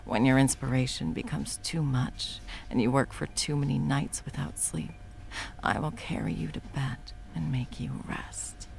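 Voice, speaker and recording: A woman voicing a scheming female supervillain who swears and often drops to a soft whisper, in a one-sided audio roleplay. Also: a bass voice, creaky, full character voice.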